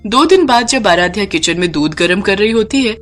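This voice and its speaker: A woman's voice: medium pitch, expressive, brisk, faint music behind. A woman reading a scripted storybook narration.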